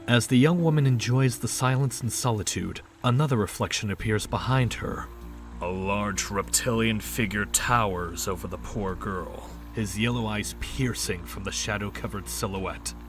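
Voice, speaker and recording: A man's voice: lowish voice, normal speed. A man narrating a scripted audio drama in storybook style. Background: background music.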